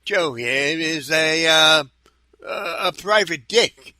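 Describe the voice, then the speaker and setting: Guy doing an awkward detective's sidekick, really sharp bright voice, higher pitched, slow and stammery. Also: no room sound, clean studio sound, full character voice. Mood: awkward, obliging. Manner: halting, stammering, full of hesitations. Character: awkward, stammering male assistant to a private detective. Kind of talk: noir audio drama dialogue.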